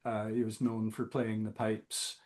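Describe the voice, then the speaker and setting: A man talking as a guest musician in a casual interview, halting often with fillers. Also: fairly low pitch, completely flat delivery, a little mellow. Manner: slow, halting, many fillers.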